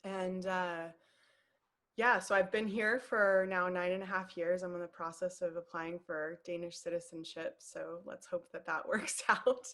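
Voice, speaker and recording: A woman in her late thirties with an American Pacific Northwest accent, talking as an interview guest in a warm, reflective way. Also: fairly low pitch, flat delivery, a little slow. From United States, Seattle. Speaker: guest, emotional intelligence coach. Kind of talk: conversational interview.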